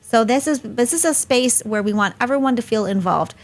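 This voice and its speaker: A woman's voice: fairly high pitch, animated, brisk. A woman hosting a live webinar over a video call, chatty and friendly, laughing easily. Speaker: host.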